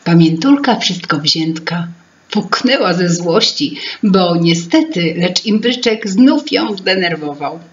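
A woman in her fifties narrating a children's fairy tale in an expressive, theatrical, deliberate way. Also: fairly low pitch, highs cut off. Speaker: storyteller. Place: indoor room with paintings.

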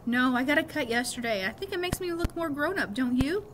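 A middle-aged woman voicing an earnest, indignant fifth-grade boy from a storybook in an animated, emphatic way. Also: sits high, a little fast, slight background noise, voice pushed up. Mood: eager.

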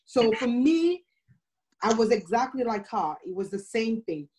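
A woman's voice: a little high, sing-song, unhurried, dark tone, slight background noise. A woman from Nigeria with a Nigerian accent, speaking as a guest on a video call panel in an earnest storytelling way.

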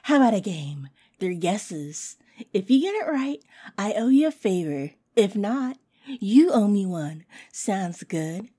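Woman acting as playful imp girl, coy teasing, obsessively smitten, unhurried pace, swooping intonation, roleplay audio. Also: mid-range voice, very animated, dark tone, husky, exaggerated voice. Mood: playful, taunting. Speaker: voice actress.

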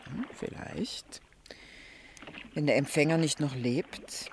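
A woman's voice: deep voice, slow pace, whispered. An actress playing a worldly, curious society lady in a radio play, chatty, playful and teasing. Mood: curious, musing. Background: water and boat ambience.

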